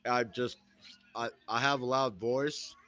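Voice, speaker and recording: Polite, loud-voiced man asking questions. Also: mid-range voice, steady tone, slow pace. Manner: polite, says sir often. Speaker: roleplay officer. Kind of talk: casual meeting question.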